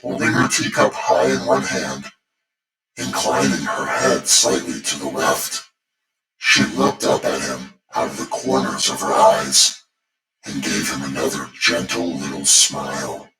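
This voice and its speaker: A man narrating a spooky bedtime story as its host, in a deliberate, theatrical manner. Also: a bass voice, monotone. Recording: echoey, distant voice over music. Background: music.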